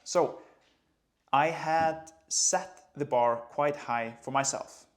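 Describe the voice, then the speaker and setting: A man in his late twenties from Iceland, a running coach speaking English with an Icelandic accent in a relaxed, conversational vlog. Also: medium pitch, takes it slowly. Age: late 20s. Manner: relaxed, conversational, light laughs. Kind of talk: casual vlog talk to camera.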